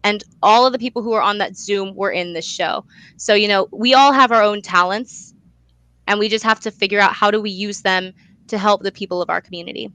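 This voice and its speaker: A young woman speaks as an interview guest in a bright, smooth voice. She is earnest and emphatic, talking conversationally. The sound is dry, with little room around her. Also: medium pitch, brisk, dry recording, rough recording. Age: young adult. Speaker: guest, student actor and playwright.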